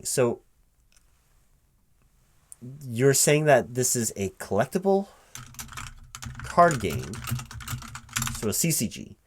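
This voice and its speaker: A man speaking aloud in a one-sided ASMR job interview roleplay as a recruiting manager, halting and repeating himself as if puzzled. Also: mid-range voice. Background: computer keyboard typing.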